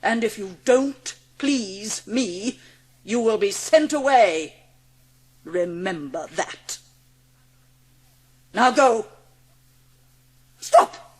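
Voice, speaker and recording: A woman playing a cruel, cold-hearted schoolmistress in an audiobook drama, imperious and scornful, with drawn-out emphasis. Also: mid-range voice, lively intonation, husky, full character voice. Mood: stern, contemptuous.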